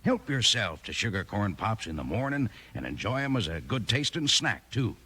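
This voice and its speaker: A man reading a 1950s radio commercial for children as a folksy, hearty announcer with a husky voice. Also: sing-song, normal speed, bright, forceful tone. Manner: folksy, hearty sales pitch.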